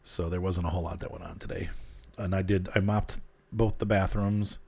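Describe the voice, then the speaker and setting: A man's voice: sits low, steady tone, brisk, creaky, slightly rough. A man who sounds a little congested and nasal, chatting casually at home into a desk mic while he plays a train game, muttering to himself as he works. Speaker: streamer playing a train game. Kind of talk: casual gameplay chat. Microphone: desk microphone turned up.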